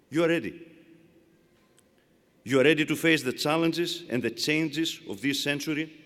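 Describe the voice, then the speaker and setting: Greek-accented man giving a commencement address. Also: mid-range voice. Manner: measured, emphatic oratory. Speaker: university chancellor. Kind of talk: commencement speech. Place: large arena. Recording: long arena reverb.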